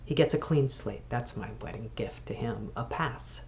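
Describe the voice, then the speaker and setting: A woman's voice: extremely low pitch, moderate pace, darkish tone, slight background noise, pitched below the performer's own voice. A woman reading a blunt, sardonic sister from a novel in a dry, dismissive way.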